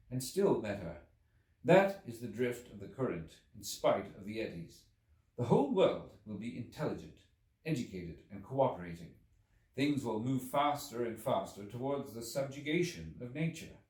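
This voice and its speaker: A man with a mid-pitched voice narrates a classic story in a deliberate, dramatic style. His intonation swings widely from phrase to phrase. The recording is roomy and echoing, as if he stands back from the microphone. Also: a little mellow, recorded from a distance. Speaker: audiobook narrator. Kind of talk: expressive literary audiobook narration. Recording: roomy, echoing, away from the mic.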